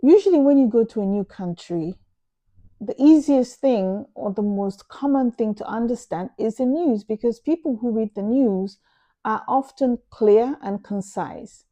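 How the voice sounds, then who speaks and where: Earnest Nigerian woman with a mellow voice. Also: on the high side. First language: Igbo. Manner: earnest, emphatic, measured explanation. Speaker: vlogger. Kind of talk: opinion monologue to camera.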